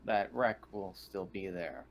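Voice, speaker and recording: A man voicing a formal, logical synthetic human advisor in a tabletop sci-fi game, with measured wording. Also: deep voice. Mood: formal, advisory.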